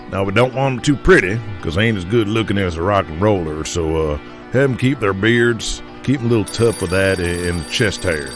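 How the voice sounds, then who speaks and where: A man's voice: low voice, natural voice. A man voicing a brash, profane male wrestling promoter who talks bluntly. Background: music underneath.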